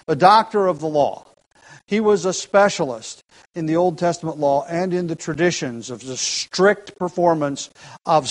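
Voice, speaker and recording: Earnest man preaching, rather high voice. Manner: earnest, with frequent restarts and rising emphasis. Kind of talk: expository sermon.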